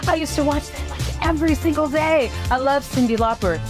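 A woman's voice: sits high, fairly quick. A woman in her thirties co-hosting a travel show, bubbly and exclamatory in playful banter. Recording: music under the voice.

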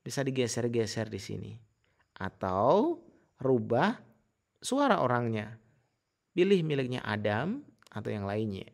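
A man giving a casual, informal software tutorial in an explanatory way. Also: medium pitch, big pitch swings, measured pace, vocal fry, pauses often. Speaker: tutorial host.

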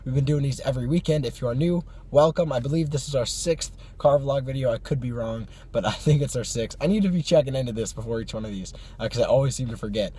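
A hoarse young man with a cold, chatting casually to camera from his parked car at night, with many fillers and small laughs. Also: mid-range voice, quick pace, few pauses, warm tone. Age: young adult. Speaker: vlog host. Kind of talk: casual car vlog chat. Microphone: phone or camera on the dashboard.

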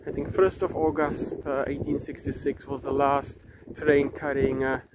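A man in his thirties, not a native English speaker, hosting a casual walking vlog outdoors and talking into a phone held at arm's length, with many fillers and halting restarts. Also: medium pitch, level tone. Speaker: vlogger. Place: outdoors in countryside by a river. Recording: outdoor wind and stream noise.

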